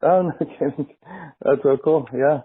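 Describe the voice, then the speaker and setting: A man's voice: a little high, a little slow, slightly rough. A man, a rabbi explaining things thoughtfully in a friendly chat. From United States. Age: 50s. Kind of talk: casual conversation. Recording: phone-like tinny line.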